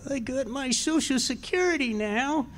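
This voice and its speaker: A performer voicing a folksy old-timer in an old-time radio ad, with drawn-out exclamations. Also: high-pitched, a bit bright, slight background noise. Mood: pleased, folksy. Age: older.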